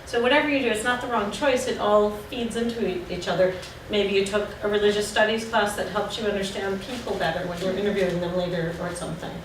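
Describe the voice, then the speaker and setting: A woman's voice: medium pitch, steady tone, fast, few pauses. A woman designer on a panel who speaks hesitantly and restarts her sentences. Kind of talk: casual panel discussion. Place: lecture room. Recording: echoey room, distant mic, background noise.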